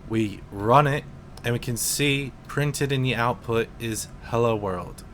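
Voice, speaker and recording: A man in his twenties, a teacher, calmly explaining programming in a casual screen-recorded tutorial with some fillers. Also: medium pitch, a little hiss or hum. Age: 20s. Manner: slow, relaxed explaining with fillers.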